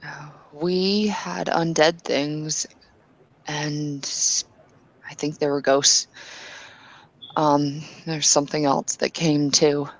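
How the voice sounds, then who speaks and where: A woman's voice: deep voice, level tone, takes it slowly, long pauses, exaggerated voice. A woman playing a blunt, impatient woman in an in-character tabletop roleplay, speaking in hesitant bursts that turn opinionated. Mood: earnest, warning.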